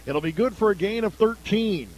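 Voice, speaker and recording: A man works as a radio play-by-play announcer, calling a football game live. His voice sits high for a man as he follows the action down by down. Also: lively intonation, normal speed, low-quality recording.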